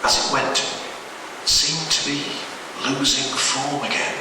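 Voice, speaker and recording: A man's voice: mid-range voice, steady tone, unhurried. A man reading a horror story aloud at a live event with a dramatic delivery. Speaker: story reader. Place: live event in a reverberant room, distant mic. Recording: echoey, noisy live hall recording from far off.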